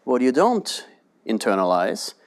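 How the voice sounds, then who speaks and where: Animated man tagging on "right?". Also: medium pitch, unhurried. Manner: animated, asks rhetorical questions, often adds "right?". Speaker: economics lecturer, panel moderator. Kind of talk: lively panel discussion. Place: lecture hall with audience.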